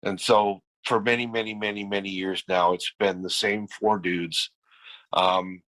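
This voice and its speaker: A man's voice: fairly low pitch, a bit bright, no room sound, low-quality recording. A man who is losing his voice, telling stories in casual podcast chat with many restarts and repeats. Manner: rambling storyteller with many restarts. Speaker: podcast guest, band frontman.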